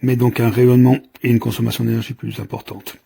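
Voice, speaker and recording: A man's voice: medium pitch, level tone, fast, creaky, mellow, slightly rough. A man giving an informal hands-on product demonstration, explaining in a hesitant, improvised way as he goes. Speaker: product reviewer.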